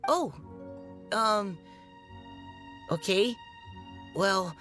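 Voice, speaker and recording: A woman's voice: mid-range voice, animated, extremely slow, a bit bright, soft background music. An actress playing a shy, polite, flustered young woman in a video game, stammering and apologetic. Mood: flustered, awkward.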